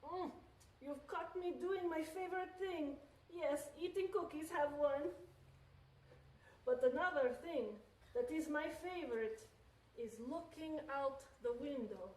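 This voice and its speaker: A woman voicing a gentle, nostalgic old grandmother with a put-on Russian accent in a filmed stage piece, speaking in a soft, wistful way. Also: high-pitched, a little slow. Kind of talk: filmed solo theatre performance. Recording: echoey room, mic far off.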